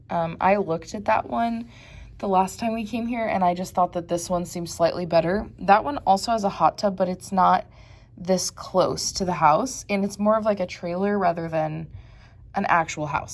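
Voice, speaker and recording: A young woman with a Midwestern accent, chatting casually to a handheld camera as she shows a vacation rental, with lots of fillers and light laughs. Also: lowish voice. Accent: Midwestern American. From American Midwest. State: slightly raspy morning voice. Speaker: vlogger. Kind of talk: casual vlog chat. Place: vacation rental house and car.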